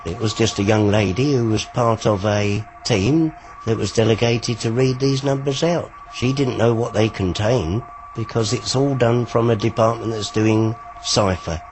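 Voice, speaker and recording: A man's voice: lowish voice, moderate pace, darkish tone, faint music behind, low-quality recording. An older British man, a former radio operative, recollecting in an interview.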